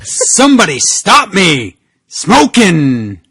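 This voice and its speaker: A man's voice: sits high, wildly swooping pitch, slow, creaky, bright, forceful tone, husky, dry recording, faint music behind, exaggerated voice. A man playing a sneaky, scheming green grinch of a fellow in a comic fan fiction reading. The delivery is hammy and swoops widely up and down, in a dry, close sound. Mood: manic. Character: sneaky grinch-like male thief, gleefully scheming, crying sadly.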